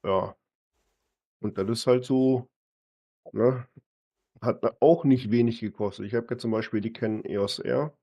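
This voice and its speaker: A man's voice: medium pitch, fairly even intonation, unhurried, pauses often, mellow. A man chatting casually with a gaming partner in a conversational way, often ending phrases with a short tag question. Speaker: gaming partner on a live stream. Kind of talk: casual chat while gaming.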